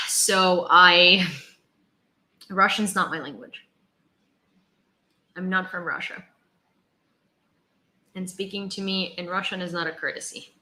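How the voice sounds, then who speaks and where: Young woman from Ukraine, livestream host, chatty and rambling, bright voice, echoey room. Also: on the low side, steady tone, slow pace, long pauses. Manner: chatty, rambling, many fillers and restarts. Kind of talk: casual livestream chat, reading viewer comments.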